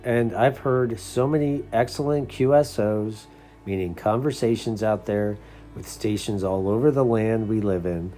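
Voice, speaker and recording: An American man with a low, very mellow voice speaks in an unscripted, conversational style. He comes across as an earnest hobbyist explaining things to his audience. Soft music plays faintly behind him. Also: a little slow. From United States. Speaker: CB radio hobbyist and video host. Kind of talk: casual unscripted talk.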